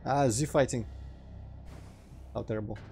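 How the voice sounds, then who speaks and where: Russian guy, mid-pitched, mild accent, deadpan let's-play narration over quiet game music. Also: measured pace, gravelly. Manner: dry, sarcastic asides. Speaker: gameplay commentator. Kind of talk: casual let's play commentary.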